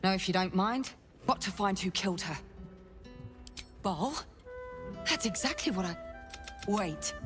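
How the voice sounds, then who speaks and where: An actress playing an earnest, dogged city guard investigator in a video game, with an intense, deliberate, confiding delivery. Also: female voice, mid-range voice, expressive, fairly bright tone. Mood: brisk, suspicious. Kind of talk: dramatic game dialogue. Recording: background music under the voice.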